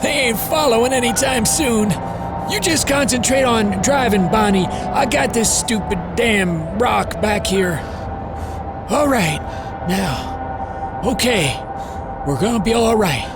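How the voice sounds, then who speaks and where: Audio drama: male actor as plucky, folksy older uncle, gruff and protective, highish voice with animated swings. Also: takes it slowly, loud music behind the voice, heavily acted. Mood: rattled, triumphant. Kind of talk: horror audio drama acting.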